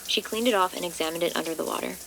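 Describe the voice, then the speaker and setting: A woman's voice: lowish voice, very fast, fairly bright tone, a little hiss or hum, low-quality recording. A woman playing the composed narrator of a horror audio drama, reading scripted prose as a storyteller. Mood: composed, ominous.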